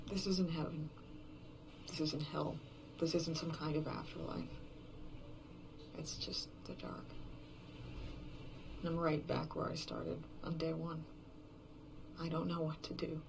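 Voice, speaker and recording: A despairing lone survivor, played by an actor in a horror audio drama, with a gravelly fry in a mid-pitched voice. The delivery is halting, brooding and confessional, sinking toward whispers. A faint layer of noise sits behind the bitter monologue. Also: flat delivery. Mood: hopeless, drained. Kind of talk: first-person horror fiction podcast monologue.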